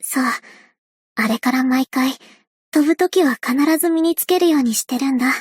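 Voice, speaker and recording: A woman voicing a calm, kind young woman aviator who speaks softly and reassuringly, in anime-style game voice acting. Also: sits high, normal speed, no echo, lightly acted. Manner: soft-spoken, reassuring. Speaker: game character voice lines. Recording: dry studio booth.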